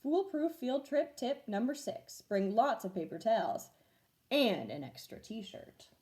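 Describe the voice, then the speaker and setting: A woman reading a children's storybook aloud as a teacher would, expressive and clearly paced for young listeners. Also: a little high, a little slow. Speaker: first grade teacher reading stories aloud.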